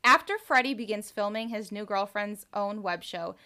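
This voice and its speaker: A woman's voice: mid-range voice, normal speed, sharp tone. A woman co-hosting a casual rewatch podcast, joking and teasing as she chats over a remote call. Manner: playful, jokey, teasing. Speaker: podcast co-host. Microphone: remote call mic.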